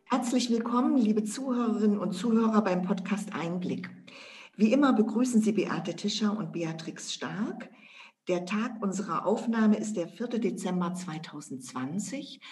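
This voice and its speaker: A woman's voice: medium pitch, lively intonation, normal speed, a little mellow. A woman co-hosting an educational podcast, formally addressing listeners. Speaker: podcast co-host. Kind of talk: scripted podcast moderation.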